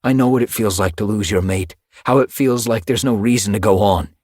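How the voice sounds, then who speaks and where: A man playing a husky, earnest tomcat. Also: on the low side, fairly quick, darkish tone, no echo, clean studio sound, pitched above the performer's own voice, close to an everyday voice. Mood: earnest, urging. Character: young male warrior cat, grieving, steady and caring. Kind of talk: audiobook character voice.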